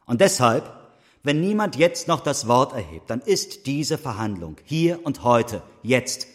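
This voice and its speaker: A man's voice: mid-range voice, sing-song, a little slow. An actor playing an imperious male judge, speaking in a pompous, declamatory manner in a radio play. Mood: solemn. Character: imperious, theatrical male judge presiding over a courtroom. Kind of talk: German radio play acting.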